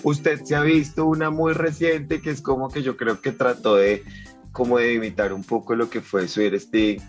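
Male podcast co-host, hoarse and congested, campy banter. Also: medium pitch, fairly even intonation, moderate pace, faint music behind. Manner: campy, playful, uses feminine slang. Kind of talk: casual podcast chat.

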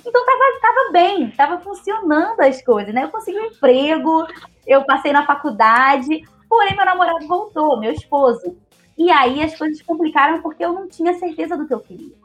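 A Brazilian woman in her twenties, a guest telling her own story in a relaxed, chatty way. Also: high-pitched, brisk, soft background music. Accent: Brazilian Portuguese. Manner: chatty, leans on tag questions. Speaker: interview guest. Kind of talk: casual personal storytelling.

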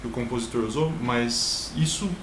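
A man's voice: mid-range voice, moderate pace. A young adult man, a guest violinist explaining at length in a casual interview. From Brazil, São Paulo state. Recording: roomy with background noise.

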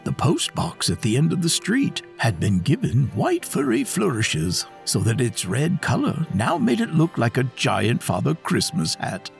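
Animated male storyteller with creaky edge. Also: mid-range voice, a little slow, few pauses, soft background music. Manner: expressive, dramatic storytelling. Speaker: storyteller, narrator. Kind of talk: scripted children's story narration.

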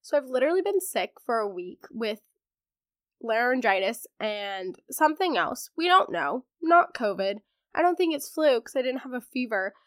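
A teenage girl talks through a podcast episode in a fairly high voice, stuffy and congested from a lingering throat illness. Her chat is loose and sarcastic, full of "um" and "like". The sound is close and dry, with no room around it. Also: sing-song, normal speed, a bit bright, dry recording. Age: teens. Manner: chatty and sarcastic, many fillers. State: congested, recovering from laryngitis. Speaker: podcast host. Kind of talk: casual chat.

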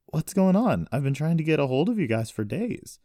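A man's voice: a little high, big pitch swings, fairly quick, mellow, no echo, studio quality, voice pushed up. A man playing an indignant, wounded young man in an audiobook story, incredulous and defensive. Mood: bewildered.

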